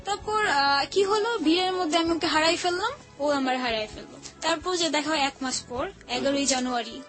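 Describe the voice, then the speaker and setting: A woman's voice: high-pitched, a little fast. A young woman with a Dhaka Bangladeshi accent, telling a personal story informally and quoting remembered conversations. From Old Dhaka, Bangladesh. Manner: colloquial, acts out remembered conversations. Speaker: guest telling her life story. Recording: music playing under the voice.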